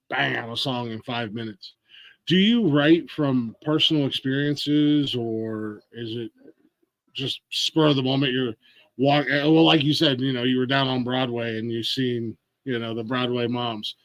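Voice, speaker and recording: A man's voice: mid-range voice, unhurried, no echo, poor audio. A man in his forties hosting a podcast interview, chatty and laughing easily as he restarts his questions. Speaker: podcast host. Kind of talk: casual interview chat.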